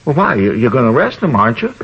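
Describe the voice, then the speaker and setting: Excitable fussy man, swooping voice, vintage radio. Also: mid-range voice, racing, breathy edge, full character voice. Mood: excitable, indignant. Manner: rambling, excitable, repeats himself. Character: fussy, eager, nosy male homeowner helping police. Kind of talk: 1950s radio drama acting. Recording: dull, noisy old radio recording.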